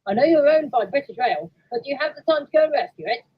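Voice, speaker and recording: A man's voice: very high-pitched, lively intonation, fast talker, dull audio, pitched above the performer's own voice. A man playing a polite, persuasive railway yard foreman in a story. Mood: polite, requesting.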